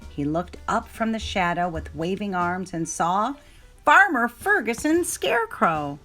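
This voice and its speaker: A woman's voice: mid-range voice, big pitch swings, measured pace, sharp tone, soft background music. A woman reading a children's story aloud as narrator.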